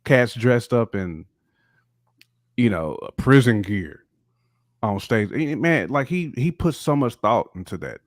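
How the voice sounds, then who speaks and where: A man's voice: medium pitch, expressive, takes it slowly, dry recording, clean studio sound. A man chatting as a panelist on a hip hop talk show, giving opinions in emphatic slang and repeating words. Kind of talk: casual opinionated chat.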